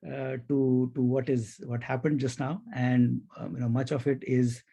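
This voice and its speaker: A man's voice: mid-range voice, flat delivery, moderate pace, creaky, warm tone, slightly rough. A man with an Indian accent hosting a conversational podcast interview, speaking in an effusive, reflective way. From India (grew up in Mumbai).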